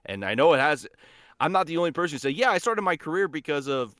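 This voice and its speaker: A man's voice: fairly high pitch, animated, fast talker, fairly bright tone, dry recording, clean studio sound. A man chatting casually as a voice actor guest on a podcast, speaking in an enthusiastic, earnest way.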